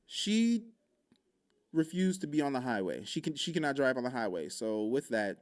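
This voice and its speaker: Gravelly-voiced man telling a story. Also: mid-range voice. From Hawaii. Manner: animated, joking, with vocal sound effects and laughs. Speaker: podcast speaker. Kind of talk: casual storytelling.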